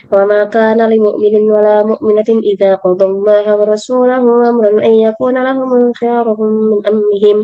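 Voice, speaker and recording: A young woman with an Indonesian accent and a hoarse voice, reading a study presentation aloud carefully, as a student. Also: medium pitch, flat delivery, measured pace. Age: young adult. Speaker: university student. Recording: dull lo-fi, slightly echoing room.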